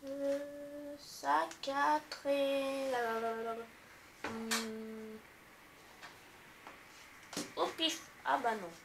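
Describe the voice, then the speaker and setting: A woman's voice: sits high, flat delivery, gravelly, noisy background. A middle-aged woman hosting a casual vlog, playful and hesitant, humming and singing little bits as she plays. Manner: playful, slow and hesitant, hums and sings bits. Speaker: vlogger host. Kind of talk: casual play-along while gaming.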